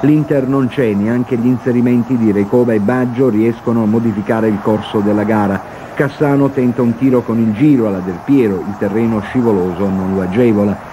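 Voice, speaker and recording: A man's voice: mid-range voice, fairly even intonation, brisk, hardly any pauses. A man reading a scripted football match report as a television sports reporter. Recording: noisy archive TV broadcast.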